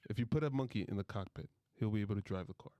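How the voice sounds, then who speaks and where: A man's voice: fairly low pitch, dark tone, no echo. A man hosting a casual sports podcast with friends, talking in a playful, bantering way. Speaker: podcast host.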